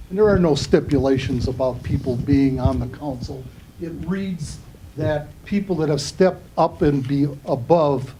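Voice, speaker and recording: A man's voice: mid-range voice, warm tone, a little hiss or hum, rough recording. An older man giving public comment at a council meeting, rambling and earnest, a bit aggrieved. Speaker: resident speaking at public comment.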